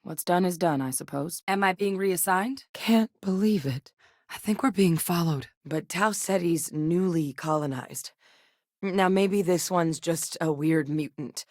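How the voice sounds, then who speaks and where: A low-voiced, no-nonsense scientist-officer in a game, matter-of-fact, dry studio read. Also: female voice, a little mellow, no echo. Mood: steady. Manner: measured, clipped, matter-of-fact. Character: composed woman scientist with military past. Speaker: voice actress. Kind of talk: video game voice lines.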